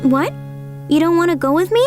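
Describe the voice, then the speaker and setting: Woman voicing a high-pitched, sweet anime schoolgirl. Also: lively intonation, brisk. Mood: friendly, concerned. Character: sweet, lonely rich high school girl. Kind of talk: anime-style manga dub acting. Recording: music behind the voice.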